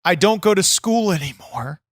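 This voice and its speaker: A man's voice: high voice, lively intonation, normal speed, dry recording, clean studio sound. A man playing a bitter, boastful wrestler persona on a comedy podcast, crude, swearing and easily irritated. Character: brash, bitter, foul-mouthed male wrestler persona. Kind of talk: improvised comedy podcast chat.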